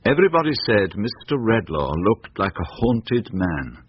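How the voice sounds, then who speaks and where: An actor with an English accent playing a solemn male Victorian author narrating a radio drama in a measured, literary way. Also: fairly low pitch, big pitch swings, slightly rough. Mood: solemn, ominous. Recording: dull lo-fi radio recording, faint noise.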